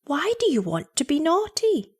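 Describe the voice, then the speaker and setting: A woman voicing a gentle, loving mother who speaks tenderly and with quiet firmness. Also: mid-range voice, expressive, a little slow. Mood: worried, tender. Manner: tender, soothing. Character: gentle loving mother of small children, sadly firm.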